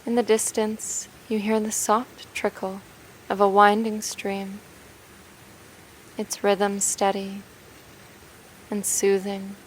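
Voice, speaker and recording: A woman narrating a guided meditation in a gentle, soothing, unhurried way, leaving long pauses between phrases. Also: mid-range voice, flat delivery, a little hiss or hum, rough recording.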